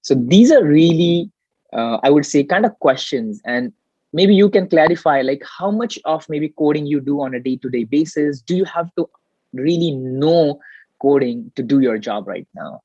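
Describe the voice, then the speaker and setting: Husky guy hosting a casual interview, kinda muffled audio. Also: on the high side, animated, normal speed, warm tone. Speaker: interviewer, host. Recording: dull, echoey room sound.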